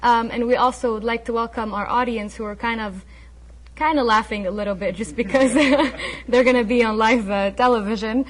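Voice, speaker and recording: A woman's voice: on the high side, moderate pace. A woman hosting a live community television talk show, introducing her guest in a friendly, welcoming way with some fillers.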